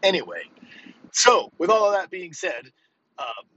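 A man's voice: sits high, huge pitch swings, unhurried, pushed and bright. A man in his fifties hosting a casual solo podcast as a coach, rambling informally and with emphasis. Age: 50s. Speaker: podcast host and physical therapist coach. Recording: dull lo-fi, vehicle noise behind.

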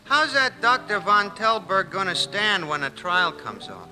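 A man's voice: sits high, lively intonation, a little slow, bright, forceful tone, full character voice, rough recording. An American actor playing a naive, chatty, folksy barman sidekick in a 1940s radio drama. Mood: wondering, chummy. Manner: folksy, wondering. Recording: vintage 1940s radio broadcast with music.

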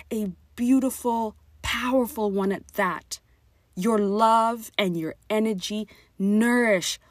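A woman's voice: fairly high pitch, a little mellow, no room sound, clean studio sound. A woman in her thirties from Zimbabwe hosting her own podcast, talking frankly and encouragingly to listeners, with casual swearing. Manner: frank, profane, encouraging, talks straight to listeners. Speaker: podcast host. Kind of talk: personal podcast monologue.

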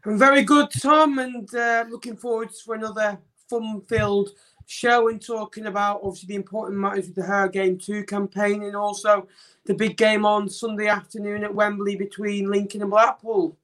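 A man's voice: high-pitched, flat delivery, normal speed, fairly bright tone, low-quality recording. A man co-presenting a football podcast, blunt and opinionated. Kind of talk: casual football chat.

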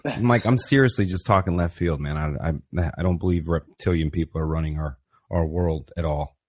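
A man's voice: sits low, a little fast. A man hosting a podcast in a laid-back, slangy way, often calling his guest "man" or "brother". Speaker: podcast host. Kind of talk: casual interview conversation.

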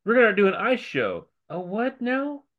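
A man's voice: high-pitched, very animated, normal speed, lo-fi sound. A man co-hosting a podcast, jumping in with wisecracks and mock quotes in casual chat. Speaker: podcast co-host.

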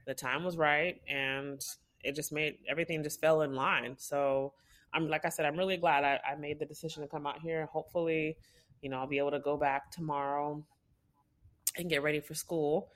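A woman's voice: deep voice, steady tone, moderate pace. Chatty young woman telling a story. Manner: chatty, storytelling with many fillers. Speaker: podcast co-host. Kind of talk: casual chat.

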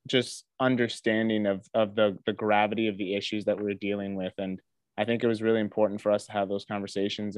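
A man's voice: lowish voice, clean tone, dry recording. A young man from Ghana, a guest in a casual interview, talking earnestly with a lot of ums and restarts. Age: young adult. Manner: earnest, many fillers and restarts. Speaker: interview guest, student athlete.

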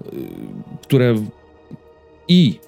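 A man's voice: very slow, dark tone, faint music behind. A man in his thirties chatting casually as a podcast host, rambling and hesitant, often repeating words as he goes. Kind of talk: casual chat about personal habits and series.

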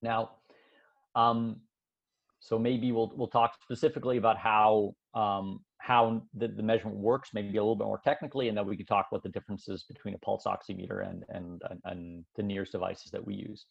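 A man who grew up in Canada, a sports scientist guest on a podcast, explaining his work in a relaxed way full of fillers and restarts. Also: fairly low pitch, brisk, vocal fry, husky, muffled recording. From Switzerland, raised in Canada. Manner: relaxed, thinking aloud with many fillers and restarts. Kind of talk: casual interview explaining science.

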